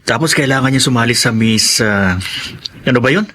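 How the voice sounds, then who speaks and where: A man's voice: mid-range voice, normal speed, sharp tone, lightly acted. An actor playing an encouraging male friend in a Filipino radio drama, talking in a reassuring, conversational way. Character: loyal, encouraging male friend of a struggling father. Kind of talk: Tagalog radio drama acting. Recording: faint background noise.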